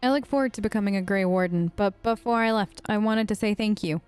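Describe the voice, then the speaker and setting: A woman's voice: medium pitch, fast, darkish tone, clean tone, soft background music. A woman gamer speaking informally and conversationally as she role-plays her character in a let's play.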